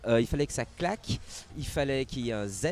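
A man's voice: medium pitch, animated, fast talker. A man, a rock musician talking in an interview, hesitant and trailing off. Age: 60s. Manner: hesitant, many fillers, trailing off. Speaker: rock band member. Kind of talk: casual interview chat.

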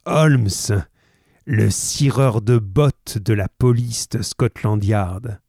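A man voicing a furious, menacing old man in a read-aloud story, loud and threatening. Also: fairly low pitch, extremely slow, no room sound, studio quality, full character voice.

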